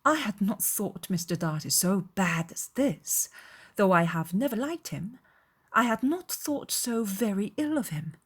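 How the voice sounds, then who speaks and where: A woman voicing a spirited, easily shocked young gentlewoman in a dramatized audiobook, speaking in an emphatic, exclamatory way. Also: a little high, slightly rough, voice pushed up, heavily acted.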